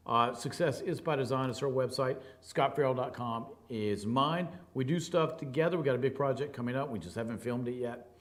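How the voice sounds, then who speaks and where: A man with a booming baritone voice, hosting a talk show and speaking in an emphatic, conversational monologue. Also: fairly quick, hardly any pauses. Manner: conversational, emphatic, acts out quoted people. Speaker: radio and TV talk show host.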